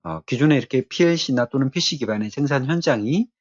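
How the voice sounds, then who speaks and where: Husky-voiced man giving a lecture. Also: mid-range voice, animated, fast, muffled recording. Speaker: technical training lecturer. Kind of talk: explanatory lecture.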